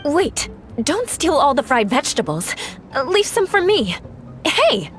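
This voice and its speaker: A woman's voice: sits high, big pitch swings, measured pace, faint music behind. A woman voicing a proud, aloof young noblewoman in a video game, speaking formally and haughtily. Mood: indignant. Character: proud, aloof young noblewoman who hides her fondness.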